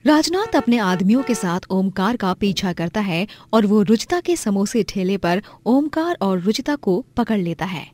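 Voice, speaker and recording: A woman's voice: mid-range voice, lively intonation, normal speed, few pauses, darkish tone. A woman reading a scripted story as its narrator.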